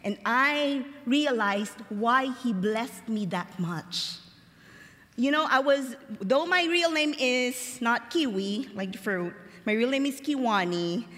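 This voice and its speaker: A young woman from the Philippines, giving a personal testimony as a chapel speaker, chatty and slowing down for emphasis. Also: a little high, animated, fairly bright tone. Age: young adult. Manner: conversational, laughs easily, slows for emphasis. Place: large chapel. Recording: long hall reverb.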